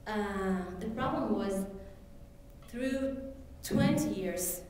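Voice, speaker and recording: A woman's voice: medium pitch, animated, a little mellow, a little hiss or hum. Echoey distant woman telling her story. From Ethiopia. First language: Amharic. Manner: halting, many fillers and restarts. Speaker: speaker sharing her story. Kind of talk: personal testimony speech. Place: event hall. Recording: very echoey room, distant mic.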